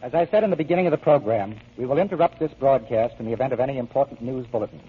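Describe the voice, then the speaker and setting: A man with a husky voice presenting a 1940s radio drama as host and announcer in scripted narration. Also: medium pitch, racing. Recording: thin phone-like old radio, music.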